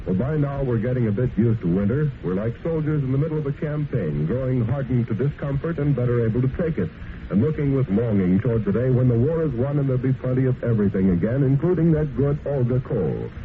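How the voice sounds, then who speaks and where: A man reading a scripted 1940s radio commercial in a formal, smooth announcer delivery. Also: mid-range voice, lively intonation, fast talker, a little hiss or hum. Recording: old wartime radio broadcast, tinny.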